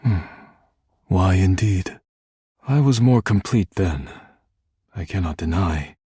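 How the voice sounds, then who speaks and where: An actor voicing a reserved, melancholy man in a video game, speaking in a formal, measured, stiff way. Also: sits low, lively intonation, mellow, breathy edge, dry recording, clean studio sound, exaggerated voice. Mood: reflective, wistful. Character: reserved, formal, melancholy male workaholic devoted to porcelain. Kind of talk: video game character dialogue.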